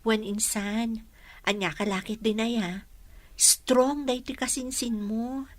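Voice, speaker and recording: A woman's voice: fairly high pitch, steady tone, slow pace, no echo. A woman playing a wronged but resilient young single mother in an Ilocano radio drama, sincere and emotional. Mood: guarded.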